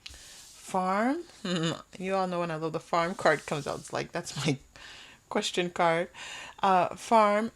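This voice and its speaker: A woman hosting an unscripted tarot reading, talking conversationally to viewers and often pausing or repeating phrases as thoughts come to her. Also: medium pitch. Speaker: tarot reader hosting a pick-a-card reading.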